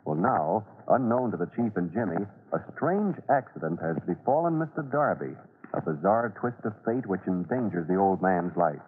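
A man's voice: sits low, animated, normal speed, slightly rough, phone-quality sound. A man narrating a classic radio adventure serial in an earnest, suspense-building, dramatic storytelling style on an old recording.

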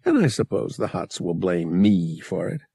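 A man's voice: low voice, big pitch swings, moderate pace, creaky, warm tone, breathy edge, no echo, clean studio sound, voice pushed down, exaggerated voice. A man voicing a young roguish space adventurer in an audiobook, casual and wry, with slangy speech.

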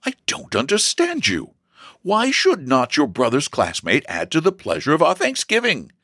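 A man voicing a kindly middle-aged mother who reasons and gently chides, in a storybook reading. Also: fairly high pitch, wildly swooping pitch, moderate pace, breathy edge, dry recording, clean studio sound, pitched above the performer's own voice, heavily acted. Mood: gently chiding.

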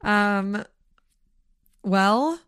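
Woman, podcast co-host, slightly hoarse, casual, swears often, dry close mic. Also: medium pitch, very slow, a bit bright, dry recording. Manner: chatty, swears freely, lots of likes and ums. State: a little hoarse. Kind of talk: casual chat.

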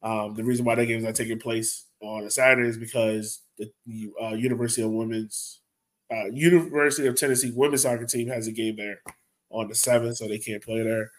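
Male podcast host, casual fan talk, blunt, opinionated, mid-pitched voice. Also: steady tone, a little fast.

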